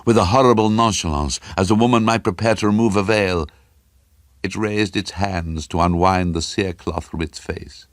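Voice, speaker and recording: A man reading a horror story for radio drama in a grave, suspenseful manner with deliberate, dramatic phrasing. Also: low-pitched, fairly even intonation, no room sound, clean studio sound.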